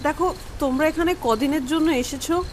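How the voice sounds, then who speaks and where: A woman's voice: sits high, a little fast, close to an everyday voice. A woman playing a worried, cautious young daughter in an audio drama, with a hesitant manner. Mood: cautioning.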